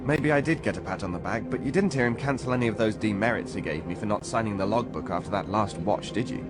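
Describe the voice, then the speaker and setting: A man's voice: on the low side, quick pace, lightly acted. A man playing an earnest young space cadet at the controls in a dramatized science fiction audiobook. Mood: wry, relaxed. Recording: music bed behind the voice.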